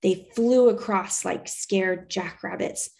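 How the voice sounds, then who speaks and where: Woman, expressive read-aloud narration, unhurried pace, creaky voice, storyteller. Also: medium pitch. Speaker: teacher reading aloud.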